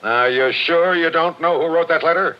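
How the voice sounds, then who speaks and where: An actor playing a skeptical police captain, blunt and questioning, in 1940s radio drama style. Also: male voice, on the high side, normal speed, natural voice. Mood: probing. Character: skeptical, probing police captain pressing for answers. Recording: dull, noisy vintage radio recording.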